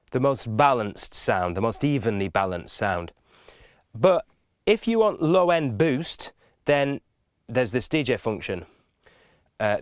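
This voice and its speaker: A man's voice: mid-range voice, no room sound, clean studio sound. A man giving an informal step-by-step video tutorial in a methodical, instructive manner.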